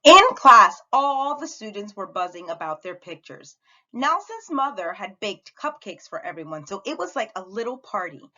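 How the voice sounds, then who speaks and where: A woman reading a children's story aloud with dramatic, deliberate expression. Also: medium pitch, big pitch swings, pushed and bright, dull audio. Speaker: storybook reader at a school read-aloud.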